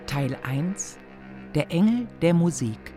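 A woman announcer delivers scripted radio-play titles very slowly and deliberately. Music plays clearly behind her measured words. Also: deep voice, animated.

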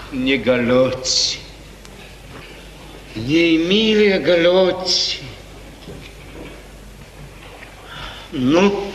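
A man's voice: fairly high pitch, fairly bright tone, exaggerated voice. An actor playing a restless, lovesick prince in 1950s radio theatre, musing aloud theatrically and repeating his phrases. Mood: melancholy musing. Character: restless lovesick prince of a small feudal state. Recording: old noisy recording, echoey hall, distant.

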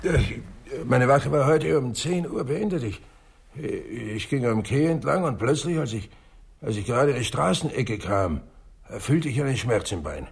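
A man playing a shaken, wounded customs officer who speaks haltingly, in a 1960s German radio drama. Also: mid-range voice, animated, vocal fry, breathy edge, exaggerated voice. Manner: halting, stammering repetitions.

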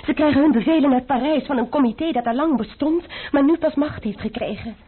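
A woman's voice: high-pitched, fairly quick, close to an everyday voice. An actress playing an anxious young woman who pleads earnestly with the man she loves in a radio drama. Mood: worried. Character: anxious young woman worried for her lover. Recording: tinny old broadcast.

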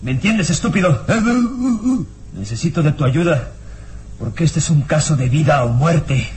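A man's voice: a little high, moderate pace, background noise, close to an everyday voice. A man playing a hunted, brutish killer in a radio serial, bullying and urgent, on an old recording. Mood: angry, desperate.

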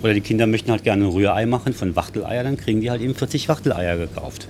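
A man's voice: on the low side, quick pace, darkish tone, faint music behind. A man who works as a market trader, talking casually and matter-of-factly in an interview.

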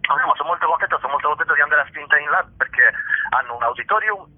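A man's voice: a little high, expressive, racing, breathy edge. A man being interviewed as a stage actor, chatting casually in a hesitant, self-deprecating way over a phone. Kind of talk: casual interview talk about own work. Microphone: telephone. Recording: muffled narrow-band phone line.

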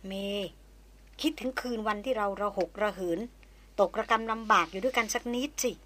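A woman's voice: medium pitch, moderate pace, fairly bright tone, lightly acted. A woman voicing a persistent former army officer who speaks frankly and teases in a storybook reading. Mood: teasing. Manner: teasing, insistent, frank.

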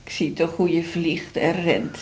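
A woman's voice: deep voice, steady tone, unhurried, darkish tone, low-quality recording. An actress playing an anxious but proud older woman with a heart condition in a radio drama, frank and motherly, with a Netherlands Dutch accent. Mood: wry, resigned.

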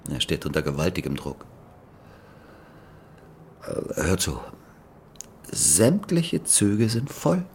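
Gruff soviet local boss, super deep voice, takes his time, radio drama. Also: slight background noise, full character voice. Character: slow, gruff male Soviet provincial boss helping the detective. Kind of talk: German radio play acting.

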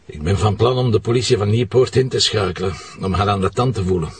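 An actor with a Flemish accent playing a blunt, probing police commissioner in naturalistic radio drama dialogue. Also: male voice, fairly low pitch, fast talker, slightly rough, no echo, recorded from a distance.

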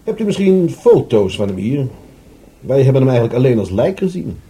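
An actor playing an awkward, skeptical police detective in a radio play, dry and halting as he questions. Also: medium pitch, big pitch swings, dark tone, close to an everyday voice. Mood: inquisitive.

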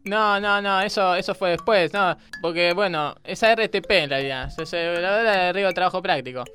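A man's voice: high-pitched, brisk, sharp tone, soft background music. A man playing an earnest, scatterbrained student with an Argentine accent in a radio comedy sketch, stumbling over and repeating words. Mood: flustered earnest. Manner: hesitant, stumbling, repetitive.

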